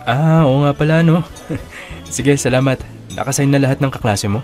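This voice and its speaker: A man's voice: mid-range voice, normal speed, darkish tone, natural voice. A Filipino man playing an earnest male college student in a naturalistic, conversational audio drama. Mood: casual, friendly. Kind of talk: naturalistic radio-style drama acting. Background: background music with guitar.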